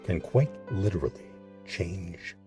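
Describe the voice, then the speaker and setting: A man's voice: low voice, lively intonation, takes it slowly, mellow, soft background music. A man delivering scripted, dramatic documentary narration as the series announcer.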